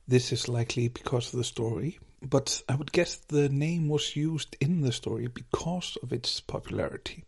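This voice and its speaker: An Icelandic man talks through folklore in a scripted, explanatory way. His voice is husky and sits at a middling pitch. He paces his points with care and lets a faint wry humour through. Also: a little mellow. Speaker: folklore podcast host.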